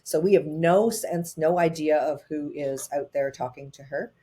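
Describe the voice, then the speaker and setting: A woman guest, a tech advisor, telling her story in a lively conversational way, often quickening her pace, with fillers like "um" and "uh" between phrases. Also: low voice. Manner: animated, quick bursts with frequent fillers.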